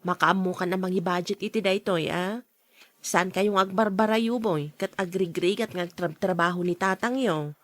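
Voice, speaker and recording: A woman's voice: on the low side, normal speed, dry recording, natural voice. A woman playing a practical, admonishing middle-aged aunt in a radio drama. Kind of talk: Ilocano radio drama acting.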